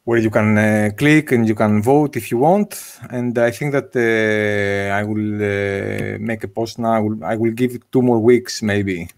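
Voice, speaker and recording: A man in his thirties talks slowly and haltingly on a video call, filling gaps with uhs. His voice is gravelly and a little low, picked up by a desk mic in a room at home. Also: fairly even intonation. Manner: hesitant, many filler uhs. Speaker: meetup organizer. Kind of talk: casual video call discussion. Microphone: desk microphone on video call.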